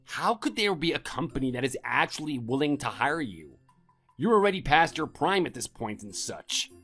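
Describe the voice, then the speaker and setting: A man playing an arrogant, whiny husband in an audio drama, blustering with a husky voice. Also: mid-range voice, sing-song, normal speed, fairly bright tone, soft background music. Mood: bitter, belittling. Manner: indignant, blustering, pleading. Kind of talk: amateur audio drama acting.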